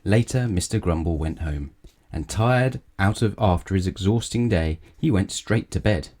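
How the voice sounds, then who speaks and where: A man's voice: sits low, lively intonation, moderate pace, darkish tone. A man reading a children's story aloud as a storyteller.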